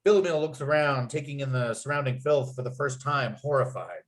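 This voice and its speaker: Male narrator, medium pitch, script table read, clipped dramatic phrasing. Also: brisk. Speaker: narrator and writer. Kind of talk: reading script stage directions aloud.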